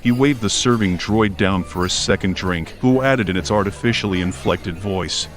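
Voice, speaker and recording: A man narrates a story in a fairly low voice. It is a scripted audiobook reading, with faint music behind the words. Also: normal speed.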